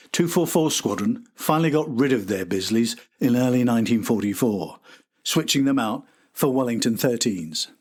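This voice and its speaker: A man reading scripted narration as the host of a history documentary podcast. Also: mid-range voice.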